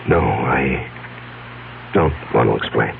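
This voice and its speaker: An actor playing a guilty, desperate businessman in a 1970s radio drama, halting, agitated and evasive. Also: extremely low pitch, vocal fry, a little hiss or hum, heavily acted. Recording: lo-fi radio broadcast with music underneath.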